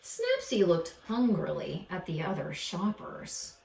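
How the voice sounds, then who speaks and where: A woman narrating a children's storybook in an expressive, theatrical way, drawing out the suspense. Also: on the low side, unhurried, creaky, a little hiss or hum. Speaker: storybook reader. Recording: echoey room, distant from mic.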